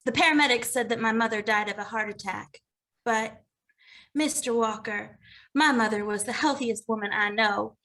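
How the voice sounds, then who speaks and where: Woman playing timid young baker, bright voice. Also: fairly high pitch, moderate pace. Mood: earnest grief. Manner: hesitant, earnest. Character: timid, earnest young female baker, grieving daughter. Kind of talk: animated comedy table read.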